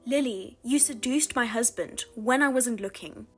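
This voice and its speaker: Woman voicing a betrayed older sister, cold, restrained, flat delivery, fairly high voice. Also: normal speed, breathy edge, faint music behind. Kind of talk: narrated drama voice-over.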